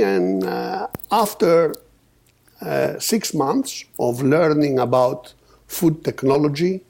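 A man in his fifties from Greece, a podcast guest telling his story with many pauses and restarts. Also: mid-range voice, creaky, dark tone. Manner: hesitant, many uhs and restarts. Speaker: podcast guest, company founder. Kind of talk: casual storytelling interview.

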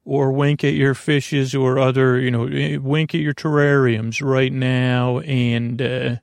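A man hosting a sleep podcast, rambling in a halting, meandering way with a creaky, gravelly voice and drifting into whimsical tangents. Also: medium pitch, little pitch movement, no room sound, clean studio sound. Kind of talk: rambling bedtime monologue.

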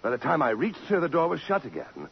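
A man's voice: a little high, wildly swooping pitch, fast, vocal fry, exaggerated voice. A man playing an anxious, defensive fiancé in an earnest, melodramatic 1950s radio drama style. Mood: anguished, defensive. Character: defensive, anxious fiancé under police questioning. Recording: muffled, noisy old radio recording.

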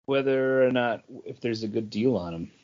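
A man's voice: mid-range voice, moderate pace, a little mellow, a little hiss or hum. A man co-hosting a podcast in informal, casual conversation. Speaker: podcast co-host. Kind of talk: casual chat. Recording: slightly dull, lo-fi podcast audio.

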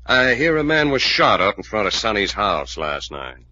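Deep, bitter tough guy. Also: very animated, normal speed, pushed and bright, no room sound, heavily acted. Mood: suspicious, probing. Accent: American. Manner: terse, slangy, interrogating. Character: bitter, bossy tough bedridden man, suspicious and gruff. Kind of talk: 1940s radio drama acting. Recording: dull old radio recording.

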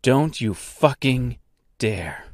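A man voicing a fierce, defiant young woman in an audiobook, assertive and emphatic, with sharp diction and a foul mouth. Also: on the low side, expressive, unhurried, dry recording, clean studio sound. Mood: furious.

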